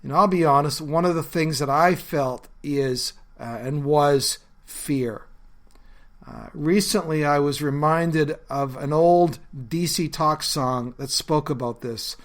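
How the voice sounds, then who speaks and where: A man hosting his own podcast as a pastor, talking in an earnest, conversational way with frequent fillers, his voice lowered by a bad cold. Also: slow. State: has a bad cold, voice lower than normal. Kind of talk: reflective solo podcast talk.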